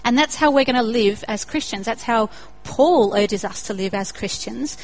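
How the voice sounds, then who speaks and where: A woman's voice: fairly high pitch, normal speed, rough recording. A young woman with an Australian accent preaching a sermon in an earnest way, building to emphatic repetition.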